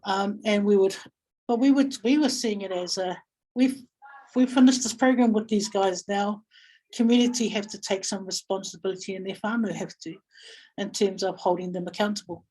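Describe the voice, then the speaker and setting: A woman giving a reflective talk as a guest speaker, telling stories and thinking aloud with halting, repeated phrases. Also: mid-range voice, steady tone, warm tone, poor audio. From Samoa or Samoan community in New Zealand. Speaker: guest speaker, family violence practitioner. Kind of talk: reflective webinar talk, storytelling.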